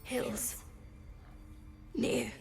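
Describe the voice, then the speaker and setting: An actress voicing a frail, weary talking bird in a video game, speaking in halting, breathy bursts. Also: female voice, darkish tone, soft background music. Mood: dazed, pained. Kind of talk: video game creature acting.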